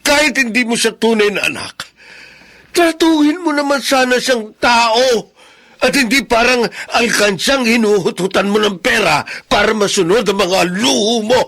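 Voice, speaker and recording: Weary, gravelly older father. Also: very high voice, moderate pace, fairly bright tone. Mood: angry, pleading. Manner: earnest, pleading, emotional. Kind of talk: radio drama acting.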